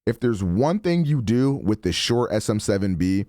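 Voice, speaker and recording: Man, deep low voice, dry close broadcast mic, relaxed casual tutorial. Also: moderate pace, no echo. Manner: relaxed, chatty, self-correcting. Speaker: streamer explaining mic settings. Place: home streaming setup. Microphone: studio broadcast mic.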